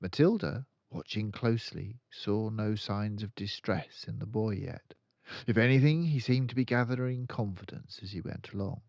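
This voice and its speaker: An older man narrating a children's story aloud in an expressive storytelling style. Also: lowish voice, normal speed, vocal fry, no room sound, dull audio. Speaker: storyteller reading a children's book.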